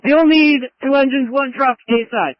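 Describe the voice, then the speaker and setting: High-pitched urgent fire chief on radio. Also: male voice, normal speed. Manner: clipped, directive, urgent. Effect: two-way radio. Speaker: fire chief commanding a division at a fire scene. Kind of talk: urgent fireground radio traffic. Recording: muffled lo-fi emergency scanner radio.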